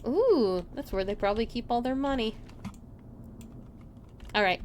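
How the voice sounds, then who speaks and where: A woman's voice: on the high side, animated, a little slow, slight background noise. A woman streaming a video game live with casual, chatty commentary, often muttering to herself and to game characters.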